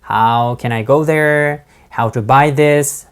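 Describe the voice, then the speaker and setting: A man's voice: mid-range voice, clear, resonant tone. A man speaking English with a non-native accent, recounting his studies in a casual vlog, hesitant with many fillers and restarts. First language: not English. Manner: halting, many fillers and restarts, laughs between words. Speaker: vlogger. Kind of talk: casual talk to camera.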